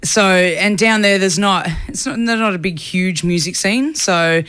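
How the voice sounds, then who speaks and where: A woman's voice: mid-range voice, a little slow, fairly bright tone, clean tone. A young woman from country Australia, a singer answering interview questions in a chatty way, full of fillers. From rural Australia. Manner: chatty, many fillers and restarts.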